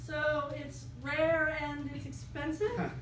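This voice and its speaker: A woman's voice: sits high, flat delivery, takes it slowly. A woman playing a breezy, wisecracking police detective in a live staged reading. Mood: breezy, businesslike. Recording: echoey distant stage, loud room noise.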